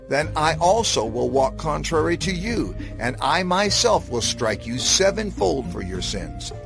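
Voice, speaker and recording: A stern God warns his people in a dramatized scripture reading. The voice is a slightly high male one that rises and falls dramatically. It is solemn and menacing, declaimed over prominent music. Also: creaky. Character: God of Israel, stern wrathful lawgiver warning his people.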